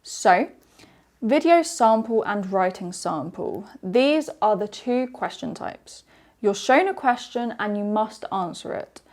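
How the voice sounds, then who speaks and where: A woman from the UK, an English exam trainer, presenting a webinar and walking through slides in a steady, explanatory way. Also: medium pitch, slow.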